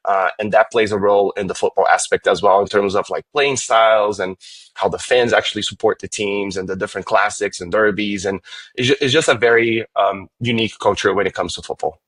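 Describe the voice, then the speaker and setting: A man, a Portuguese speaker, explaining things calmly as a podcast guest. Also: fairly low pitch, fairly quick. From Brazil. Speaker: guest, football investment advisor. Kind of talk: podcast interview.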